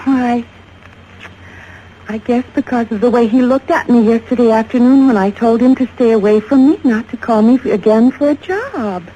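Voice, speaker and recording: An actress plays an evasive young woman under suspicion in a vintage American radio drama, pleading earnestly. Her voice is soft-toned and mellow. The old recording is muffled, with faint noise. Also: a little high, moderate pace, natural voice. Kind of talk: mid-century American radio drama acting.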